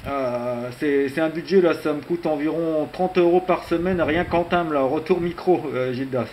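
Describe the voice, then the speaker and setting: A man chats casually as an amateur radio operator, in a conversational, halting way with frequent little tag words. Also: a little high. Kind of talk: casual radio contact chat. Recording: faint background hiss.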